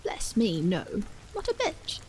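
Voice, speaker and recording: A woman voicing an amiable, bookish gentleman in a story, genteel and wry in a measured way. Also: mid-range voice, slight background noise, poor audio. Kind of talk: audiobook character dialogue. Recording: steady rain sound over the voice.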